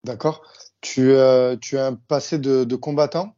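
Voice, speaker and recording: A male podcast host with a mellow, mid-pitched voice asks his guest plain questions. He pauses briefly now and then. The audio is dull and lo-fi. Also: steady tone. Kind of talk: interview questions.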